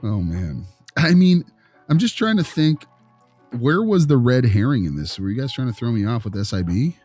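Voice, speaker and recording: A man's voice: medium pitch, big pitch swings, moderate pace, darkish tone, faint music behind. A man speaking casually and colloquially as a podcast panelist, with a wry, wordy way of talking. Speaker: podcast panelist, behavior specialist. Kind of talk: casual discussion and case role-play.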